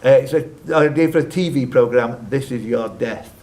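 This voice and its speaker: A man's voice: medium pitch, normal speed, darkish tone. A man hosting a live quiz, reading out questions and tossing in chatty, off-the-cuff asides. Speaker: quizmaster. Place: live quiz event at a social club.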